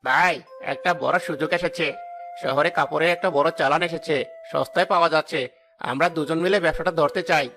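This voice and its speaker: A scheming village trader from a cartoon voiced by a man, talking rapidly in a forceful, bright voice. The tone is slick and greedy. Faint music plays underneath. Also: fairly high pitch, fairly even intonation. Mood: flattering, eager. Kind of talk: cartoon story acting.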